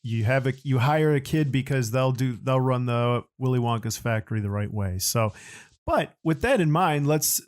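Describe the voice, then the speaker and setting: A man's voice: mid-range voice, moderate pace, no echo, clean studio sound. A man hosting a podcast, interviewing guests in a casual conversational style. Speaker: podcast host.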